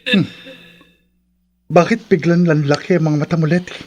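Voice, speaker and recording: An actor with a Filipino accent playing a caring, practical husband in a horror radio drama. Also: male voice, on the high side, normal speed, darkish tone, lightly acted. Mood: baffled. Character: consoling, practical husband, caring but skeptical.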